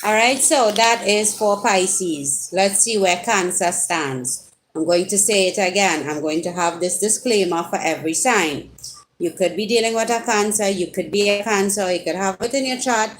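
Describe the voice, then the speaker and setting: A woman's voice: mid-range voice, moderate pace, slight background noise, rough recording. A woman with a Trinidadian accent giving a casual live tarot reading, chatting and teasing her viewers directly with sudden rising exclamations. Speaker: tarot reader.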